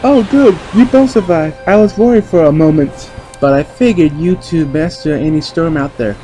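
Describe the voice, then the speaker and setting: A man's voice: on the high side, moderate pace, warm tone, soft background music, close to an everyday voice. A man playing a confident, kindly champion sailor, earnest and encouraging, in an amateur audio drama. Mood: relieved, proud.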